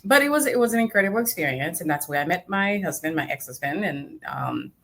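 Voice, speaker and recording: A woman's voice: on the low side, lively intonation, fast talker, vocal fry, fairly bright tone. Chatty Haitian-accented woman on a video call. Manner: chatty, many fillers and laughs, acts out quotes. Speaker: guest, arts nonprofit director. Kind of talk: casual interview storytelling. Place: home room, video call.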